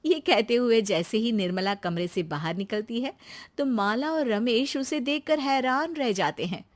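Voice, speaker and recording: A woman's voice: a little high, lively intonation, a little slow. A woman reading scripted narration for a family moral tale as a storyteller.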